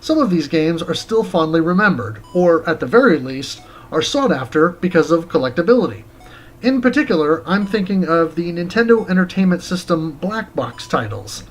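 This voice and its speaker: Higher-pitched man narrating a game review. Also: rough recording. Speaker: video game reviewer, show host.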